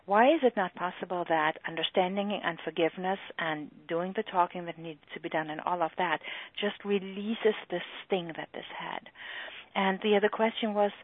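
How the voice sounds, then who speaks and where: A middle-aged woman with a German accent, speaking earnestly on a recorded group call and often pausing with fillers. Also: medium pitch, no room sound. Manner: earnest, halting with many fillers. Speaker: EFT practitioner and trainer. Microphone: phone or call line. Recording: tinny phone-line call recording.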